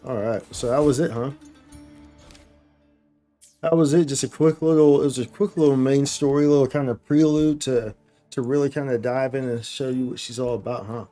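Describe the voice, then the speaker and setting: Guy streamer chatting thru gameplay, mellow voice, slangy n repeats himself, faint music. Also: mid-range voice, fairly even intonation, moderate pace. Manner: slangy, repetitive, thinking aloud. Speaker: game livestreamer. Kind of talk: casual live gameplay commentary. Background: faint game music.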